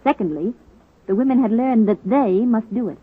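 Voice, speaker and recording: A woman's voice: fairly high pitch, moderate pace, husky. A woman narrating a scripted historical radio program in dramatic 1930s-40s style. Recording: tinny old radio recording. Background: music.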